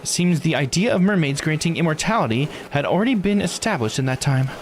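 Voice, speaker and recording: Man putting on a high, polite, enthusiastic guide. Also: lively intonation, fast talker, slight background noise. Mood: informative, earnest. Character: bright, courteous local guide reciting lore. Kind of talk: visual novel character read aloud. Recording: game music under the voice.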